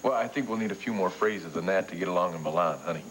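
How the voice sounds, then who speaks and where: A man's voice: sits low, fast, noisy background, lightly acted. An actor playing a cheerful young husband who keeps reassuring his wife. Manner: cheerful, encouraging, lively. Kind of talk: daytime soap acting.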